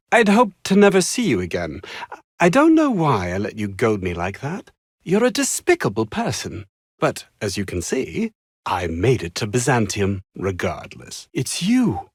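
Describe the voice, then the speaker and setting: An actor playing a haughty, anxious, downtrodden scientist in a video game, husky and speaking in formal, wordy, theatrical phrases. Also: male voice, a little high, dry recording, studio quality. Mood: resentful. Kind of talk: video game character dialogue.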